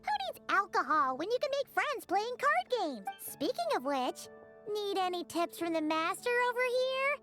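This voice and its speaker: A chirpy tiny fairy companion. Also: female voice, very high voice, very animated, normal speed, music in the background. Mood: boastful. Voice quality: chirpy, confident. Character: tiny floating female fairy companion, chatty. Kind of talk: game voice acting.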